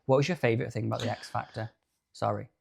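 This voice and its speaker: A man in his thirties co-hosting a podcast, chatting in an animated, campy way. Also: medium pitch, fast, creaky, husky. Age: 30s. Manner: animated, chatty, camp asides. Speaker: podcast co-host. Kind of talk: casual chat.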